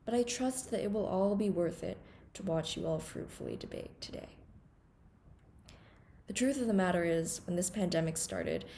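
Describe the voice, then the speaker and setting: A teenage girl from Hong Kong gives a formal opening speech, earnest and measured. Her voice is mellow and dark with a gravelly edge, and a faint hiss of noise sits under it. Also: on the low side. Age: teens. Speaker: conference undersecretary general giving a welcome speech. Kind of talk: prepared ceremonial speech.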